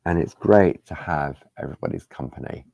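A man hosting a live craft-along, chatting casually and rambling as he works, with a hoarse voice after days of talking. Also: a bass voice, a little fast, warm tone, no room sound, dull audio. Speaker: craft demonstrator. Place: craft studio table. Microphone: overhead camera on hands, speaker unseen.

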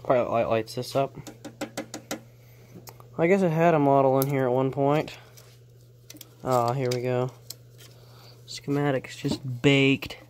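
A man with a mid-range voice talks through his work in an unscripted, casual way. He sounds offhand, as if half talking to himself at the bench. The handheld recording carries clear background noise in a small workshop. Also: mid-range voice, steady tone, takes it slowly, long pauses, a little mellow. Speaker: hobbyist electronics repairer showing equipment. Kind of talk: casual unscripted show-and-tell narration.

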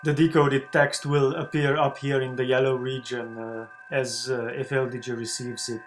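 A man talking through an unscripted software screencast tutorial in a hesitant, halting way, as a video blogger would. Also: mid-range voice, level tone, over music. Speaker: ham radio video blogger demonstrating software. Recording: clear background noise with hum.

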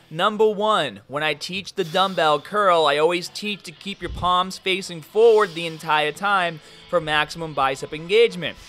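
A young man giving workout instructions as a fitness coach, energetic and coaching in tone. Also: high voice. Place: large gym, indoors.

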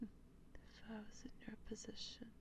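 A woman playing a teasing, wistful female vampire in a breathy, deliberate ASMR role play. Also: a little high, completely flat delivery. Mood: intimate, teasing. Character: female vampire, teasing, wistful, intimately affectionate theater haunter.

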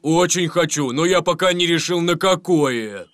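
An actor playing an earnest, unsure talking steamroller in a children's cartoon, with a hesitant, drawn-out way of talking. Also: male voice, on the high side, a bit bright, dry recording, clean studio sound.

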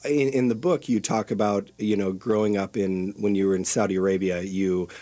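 Lowish-voiced man asking halting questions. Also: fairly even intonation, mellow. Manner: hesitant, many fillers and restarts. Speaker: radio co-host. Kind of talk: casual interview questions. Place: radio studio.

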